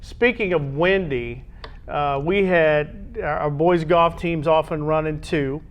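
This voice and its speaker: A man's voice: fairly high pitch, slow pace. An American man co-hosting a casual discussion about sports. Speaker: co-host.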